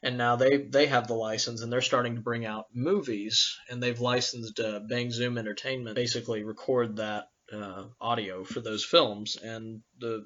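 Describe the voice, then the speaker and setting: A man speaking as a podcast host in a casual, somewhat halting conversational way. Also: mid-range voice, a bit bright, highs cut off. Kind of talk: casual podcast intro and interview talk.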